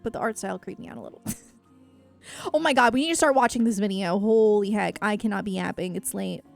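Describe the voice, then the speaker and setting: A woman's voice: mid-range voice, fast, gravelly, faint music behind. A woman chatting casually on a livestream, rambling and effusive, with a self-deprecating way of talking as she answers her viewers.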